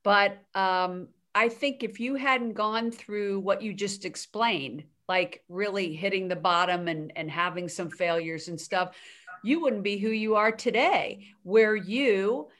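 Woman podcast host, upbeat and keen, mid pitch, chatty interview style. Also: slow, a bit bright. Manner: upbeat, enthusiastic, cuts in to highlight points. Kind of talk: casual interview chat.

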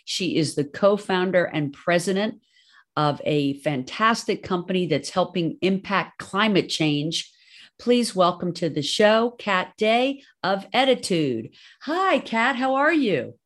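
A woman's voice: fairly low pitch, lively intonation, takes it slowly. A woman hosting a business podcast, talking in an upbeat, effusive way with frequent fillers. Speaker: podcast host. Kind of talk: scripted intro then interview chat.

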